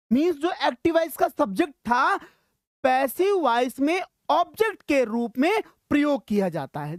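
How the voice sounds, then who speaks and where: High-pitched Indian woman teaching. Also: no room sound, studio quality. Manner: chatty, encouraging, checks understanding often. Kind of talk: informal grammar lesson mixing Hindi and English.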